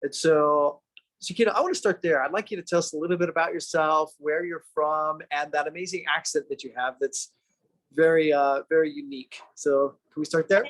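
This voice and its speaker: A man hosts a casual podcast interview with an eager, upbeat manner. His voice is a little high for a man, and he stumbles and restarts often as he talks. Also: fairly even intonation, brisk, fairly bright tone. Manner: enthusiastic, stammering restarts. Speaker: podcast host.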